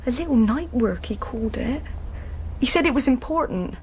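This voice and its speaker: A plain-spoken young woman played by an actress in a radio drama, a little anxious as she gives her account. Her voice is fairly high, with faint noise behind it. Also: animated, normal speed, a little mellow, close to an everyday voice. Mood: subdued recounting.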